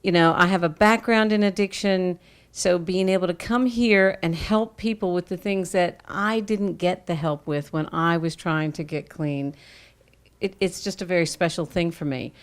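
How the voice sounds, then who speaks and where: A woman from Arkansas, a volunteer practitioner, sharing her story in earnest, warm casual talk and laughing easily. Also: fairly low pitch, normal speed, smooth. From Arkansas, United States. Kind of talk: casual personal testimony.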